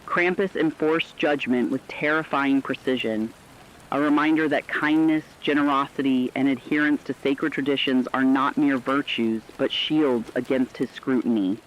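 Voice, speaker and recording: A man playing a solemn radio reporter, delivering measured, portentous scripted narration in a radio drama. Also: mid-range voice, muffled recording, a little hiss or hum, close to an everyday voice. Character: solemn male radio reporter warning of ancient judgment.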